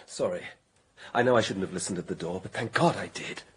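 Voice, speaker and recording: An actor playing an impulsive young suitor, pleading and breathy, in a radio mystery drama. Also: male voice, deep voice, a little fast, slight background noise, natural voice. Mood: ardent, pleading. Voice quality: husky, breathy.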